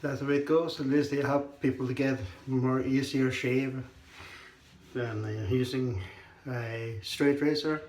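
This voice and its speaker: Hoarse man in an echoey bathroom. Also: medium pitch, steady tone, darkish tone. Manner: slow, halting, with frequent throat clearing. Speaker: shaving vlogger. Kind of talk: casual chat while shaving. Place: small tiled bathroom, indoors. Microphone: camera on the counter at arm's length. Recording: echoey tiled bathroom, faint noise.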